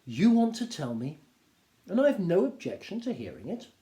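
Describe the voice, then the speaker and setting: A man voicing a wry, teasing middle-aged husband, measured and mock-polite, reading from a classic novel. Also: sits high, huge pitch swings, dark tone, voice pushed up. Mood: dry, feigned innocence. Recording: roomy, echoing indoor sound.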